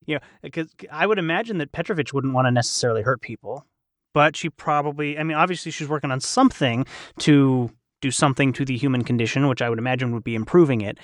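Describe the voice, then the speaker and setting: A man's voice: mid-range voice, fast talker, dry recording, studio quality. A man in a casual panel discussion, thinking aloud as he analyzes and explains. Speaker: cast member in a behind-the-scenes discussion.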